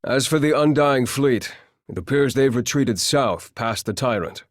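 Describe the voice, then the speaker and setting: An actor playing a composed military advisor in a video game, speaking in a measured, formal way. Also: male voice, medium pitch, dry recording, clean studio sound, lightly acted. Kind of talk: video game cutscene acting.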